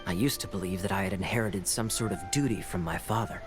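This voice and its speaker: A man playing a suave, teasing young knight in an anime game, with a smooth, playful, theatrical delivery. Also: deep voice, quick pace, music in the background, lightly acted. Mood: musing, casual.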